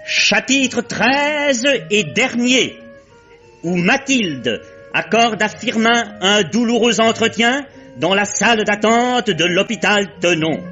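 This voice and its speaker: An actor narrating a 1950s French radio serial in a measured, mock-solemn way. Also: male voice, sits high, lively intonation, bright, forceful tone, exaggerated voice. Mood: solemn, storytelling. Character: storyteller announcing chapters, wry and solemn. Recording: muffled old radio recording, music behind.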